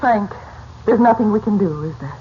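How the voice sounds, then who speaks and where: A woman's voice: mid-range voice, lively intonation, moderate pace, lightly acted. An actress playing an anxious, self-reproaching middle-aged widowed mother in a 1940s radio drama, fretful and dramatic. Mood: worried. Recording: muffled, noisy 1940s radio recording.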